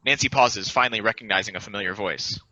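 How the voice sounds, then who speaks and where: A man's voice: lowish voice, fast, pushed and bright, no room sound, highs cut off. A man reading stage directions as the narrator of a play table read, in scripted narration.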